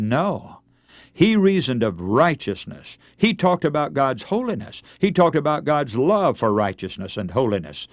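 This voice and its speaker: A man preaching a dramatic radio sermon in an emphatic, oratorical manner, with rhetorical repetition and deliberate pauses. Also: on the high side, no room sound, clean studio sound. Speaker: radio preacher and broadcast host.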